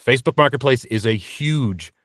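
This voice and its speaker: A man's voice: mid-range voice, expressive, moderate pace, a bit bright, dry recording. Practical man explaining in conversation, dry sound. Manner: practical, explanatory advice-giving. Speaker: interview guest, voice actor. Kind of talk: conversational interview answer.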